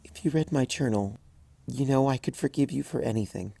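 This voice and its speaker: A man's voice: vocal fry, warm tone, dry recording. Twilight, a man doing a frantic young unicorn mare. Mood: soft pleading. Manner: frantic, rushed, high-strung outbursts. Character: anxious flustered young female unicorn scholar. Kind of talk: fanfic audiobook reading.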